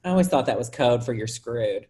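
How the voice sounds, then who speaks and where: Low-voiced woman acting an oblivious oversharer. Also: expressive, fairly quick, dark tone. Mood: deadpan. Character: oversharing, oblivious office worker Mo, squeamish and rambling. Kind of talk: staged play reading.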